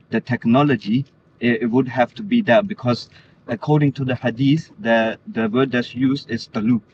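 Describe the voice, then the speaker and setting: Muffled, noisy recording of a man from Denmark. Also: mid-range voice. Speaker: interview guest. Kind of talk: earnest religious conversation. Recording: muffled lo-fi audio, clear background noise.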